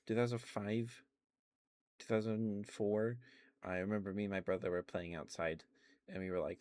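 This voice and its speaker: Very deep-voiced man rambling softly. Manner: meandering, many fillers and restarts, chuckles often. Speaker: ASMR streamer. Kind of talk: soft-spoken ASMR rambling.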